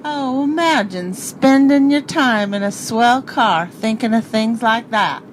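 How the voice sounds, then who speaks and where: Woman acting a brash, high-voiced young hitchhiker gal. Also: a little slow, slight background noise, close to an everyday voice. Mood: breezy, indifferent. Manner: slangy, blunt, sassy. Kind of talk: radio play acting.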